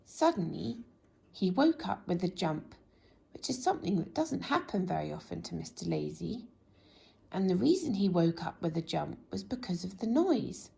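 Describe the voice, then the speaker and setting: A woman reading a children's bedtime story expressively, in a gentle, drawn-out way that drops into hushed tones. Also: fairly low pitch, very animated, gravelly. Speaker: storybook reader.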